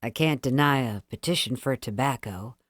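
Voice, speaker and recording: A woman acts out a genial young gentleman from a classic short story. He sounds low, calm and courteous, keeping a light touch, and the recording is very dry. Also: moderate pace, dry recording. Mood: light, rueful. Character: calm, genial, well-bred younger man.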